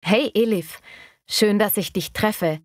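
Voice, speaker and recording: A woman acting as a friendly, courteous office colleague at a publishing house. She enunciates clearly at a medium pitch, as in a scripted language lesson, recorded close and dry. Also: takes it slowly, no room sound, natural voice. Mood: friendly, asking.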